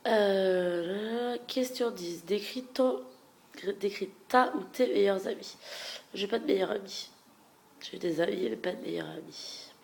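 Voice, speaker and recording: A young woman with a stuffy head cold and a nasal, congested voice, chatting frankly through a question-and-answer video and often trailing off into soft murmurs. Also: mid-range voice, measured pace, vocal fry. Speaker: beauty vlogger. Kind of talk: casual Q&A tag video.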